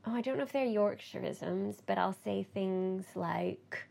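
A Canadian woman in her thirties, a ballet dancer interviewed in a theatre, speaking softly with hesitant ums and easy laughs. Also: medium pitch, unhurried, vocal fry. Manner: soft-spoken, hesitant with many ums, laughs easily. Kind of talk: casual interview. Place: theatre in Leeds.

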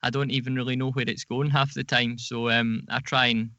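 A man's voice: mid-range voice, flat delivery, brisk, sharp tone, no room sound, highs cut off. A man talking casually as a podcast guest. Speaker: podcast guest, audio drama producer. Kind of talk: casual chat.

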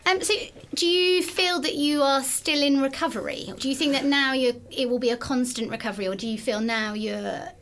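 Slightly high-voiced woman interviewer. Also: moderate pace, few pauses, fairly bright tone. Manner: encouraging, with brief affirmations. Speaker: interviewer, host. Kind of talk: casual interview conversation.

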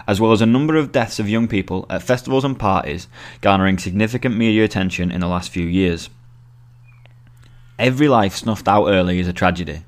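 Low-pitched young British man reading aloud. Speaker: journalist reading own article aloud. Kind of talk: scripted narration.

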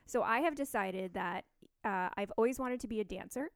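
A woman in her thirties chats away as a podcast co-host, rambling from thought to thought with lots of fillers. Her voice sits at a middle pitch. The sound is close and dry, with no room echo. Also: normal speed. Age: 30s. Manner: chatty, rambling with many fillers. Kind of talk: casual chat.

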